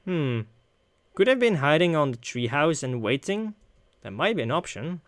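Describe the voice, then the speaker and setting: A man's voice: a little high, very animated, moderate pace, no echo. A man doing casual let's play commentary on a game, playful and opinionated as he thinks aloud. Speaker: gaming let's player. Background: game music.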